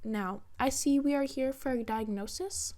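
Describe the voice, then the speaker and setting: Brisk, condescending woman doctor, voiced by a reader. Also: sits high, a little mellow, pitched above the performer's own voice, close to an everyday voice. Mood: brisk, polite. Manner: professional, polite but condescending.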